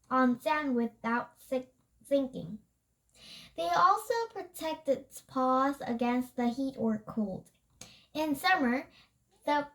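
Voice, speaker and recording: Slow, high-pitched child reading aloud. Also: low-quality recording. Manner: halting, stumbles and rereads words.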